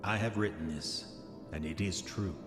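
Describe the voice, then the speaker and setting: A man's voice: low voice, moderate pace, close to an everyday voice. An actor playing a haunted, hot-tempered prospector confessing in his diary, with formal old-fashioned, brooding delivery in a horror audio drama. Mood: grim, self-justifying. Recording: echoey voice with long reverb, music behind. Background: music.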